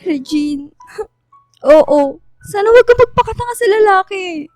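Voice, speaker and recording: Radio drama role: frank, caring young woman, high voice, dry sound, music underneath. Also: animated, unhurried, darkish tone, no echo. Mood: tender but frank. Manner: blunt, emphatic. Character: frank, caring young woman confronting her best friend.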